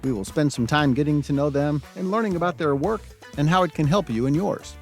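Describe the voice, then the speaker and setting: A man's voice: fairly high pitch, fairly quick, soft background music. A man hosting an education podcast, reading a formal introduction and then chatting with his guest, earnest and friendly with a few fillers. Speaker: podcast host, recruitment coordinator. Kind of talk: scripted introduction and interview.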